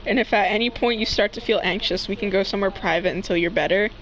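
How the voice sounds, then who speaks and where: A woman's voice: mid-range voice, quick pace, a little hiss or hum, close to an everyday voice, poor audio. A woman voicing a caring, reassuring male gang leader in an amateur fanfiction reading. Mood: soothing. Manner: gentle, reassuring. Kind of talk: amateur fanfiction read-aloud.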